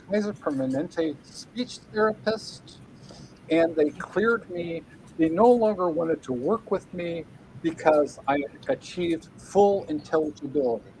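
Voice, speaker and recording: A man's voice: a little high, darkish tone, a little hiss or hum, low-quality recording. A middle-aged man, a guest writer on a video call, ranting angrily with swearing, repeated words and stumbling restarts. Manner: angry, profane, repeats himself, stumbling restarts. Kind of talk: angry livestream rant.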